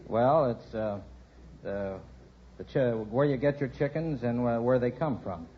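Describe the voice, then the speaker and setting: A plain-spoken man answering haltingly as a contestant on an old radio quiz show. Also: mid-range voice. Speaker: quiz contestant, poultry shop owner. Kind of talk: unscripted radio interview answers. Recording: muffled noisy old radio recording, loud audience.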